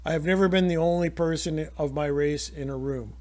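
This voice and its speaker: A middle-aged Canadian man pours out his opinions in a rambling, indignant monologue. His voice sits a little high for a man, and he often stops and restarts his sentences. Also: a little fast, darkish tone. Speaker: channel host.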